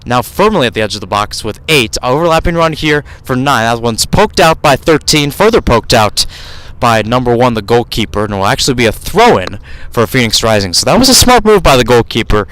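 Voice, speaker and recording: A man's voice: mid-range voice, very animated, brisk, fairly bright tone, no echo, rough recording. A man doing live radio play-by-play of a soccer match outdoors, describing the action in short, clipped phrases. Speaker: play-by-play sports commentator.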